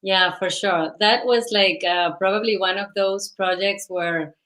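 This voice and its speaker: A woman's voice: medium pitch, steady tone, unhurried, a bit bright. A woman, a company founder answering interview questions in a rambling, enthusiastic way. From Venezuela and Spain. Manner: rambling, enthusiastic, many fillers.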